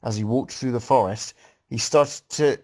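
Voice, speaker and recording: A man's voice: mid-range voice, moderate pace, mellow. A man reading a story aloud casually as host, breaking off to make joking, mocking comments. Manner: breaks off to mock the writing.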